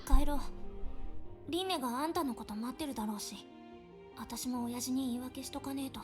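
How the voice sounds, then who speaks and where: A woman's voice: sits high, racing, over music, natural voice. A woman voice acting a composed, reserved young woman in a Japanese visual novel. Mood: strangely calm.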